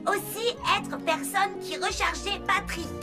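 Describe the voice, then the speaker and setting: Slow, very high-pitched little robot boy. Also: steady tone, over music. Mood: friendly. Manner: broken telegraphic speech. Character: small cute childlike boy robot, naive and earnest. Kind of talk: French cartoon dub acting.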